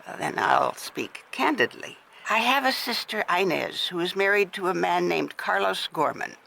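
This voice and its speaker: An actress playing a refined, middle-aged wealthy widow in a radio detective drama, with a formal, confiding way of talking. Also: female voice, on the low side, animated, moderate pace, creaky, sharp tone, exaggerated voice. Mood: discreet, confiding. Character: wealthy widow, refined, gracious, worried hostess.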